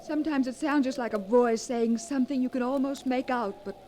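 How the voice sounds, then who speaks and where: An actress playing an affectionate but uneasy wife, earnest and refined, in a 1940s radio drama. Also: female voice, sits high, fairly quick, lightly acted. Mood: anxious, tender. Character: anxious, affectionate young wife of a painter. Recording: faintly noisy 1940s radio recording.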